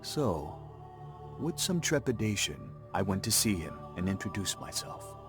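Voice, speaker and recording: An actor playing an earnest, principled young war veteran of Lakota descent, measured and sincere, in a video game cutscene. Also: male voice, sits low, sing-song, music in the background. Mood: reflective.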